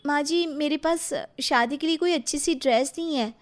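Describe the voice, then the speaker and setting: Sweet young daughter-in-law for a cartoon tale, played by a female narrator: high, girlish, pleading, chatty. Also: normal speed, close to an everyday voice. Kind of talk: animated moral story character voice.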